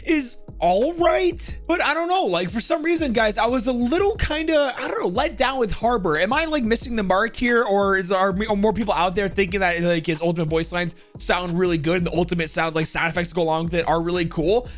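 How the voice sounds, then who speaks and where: A man's voice: high-pitched, a little fast, hardly any pauses, soft background music. A man hosting a video, giving casual, opinionated ranking commentary on a video game in an informal, conversational way. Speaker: video host.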